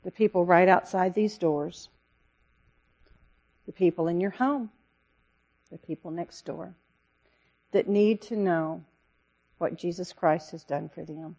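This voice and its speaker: A woman missionary giving an earnest, pleading talk to a church congregation, with a loud voice. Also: fairly low pitch, slow, long pauses. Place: church service.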